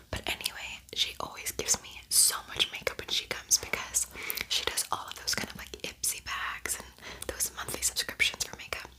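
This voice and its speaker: A woman speaking in a breathy whisper, chatting informally and softly as she hosts an ASMR makeup tutorial. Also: low-quality recording. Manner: soft-spoken, informal, chatty. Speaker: beauty ASMR video creator.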